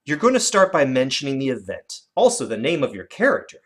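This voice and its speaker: Animated teacher with a very lively pitch. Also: brisk, husky. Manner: animated, expressive storytelling emphasis. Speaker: elementary teacher. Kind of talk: instructional video lesson for children.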